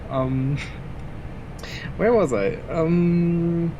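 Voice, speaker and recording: A man's voice: fairly high pitch, drawn-out words, highs cut off, background noise. Chatty young man with German roots. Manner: rambling and chatty, chuckling often between thoughts. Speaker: flight simulator streamer. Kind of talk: casual gameplay commentary. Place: home room at his PC.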